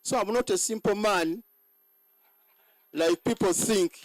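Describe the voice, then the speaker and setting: A hoarse man giving a boastful ceremony speech as general manager, halting and repeating words. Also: high voice, no echo, poor audio.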